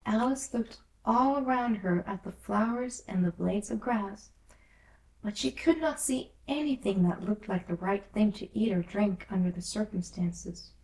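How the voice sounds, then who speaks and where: A woman's voice: mid-range voice, a little slow, darkish tone. A woman reading a children's classic aloud as scripted storybook narration. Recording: roomy echo, distant from mic.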